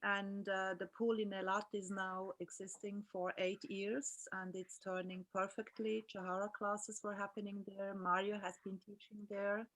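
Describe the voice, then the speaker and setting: A woman's voice: mid-range voice, little pitch movement, measured pace, few pauses, fairly bright tone, rough recording. A woman guest speaking earnestly in short phrases on a video call, sharing plans with colleagues. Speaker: guest, aquatic therapy pioneer. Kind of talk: casual conversation on a video call.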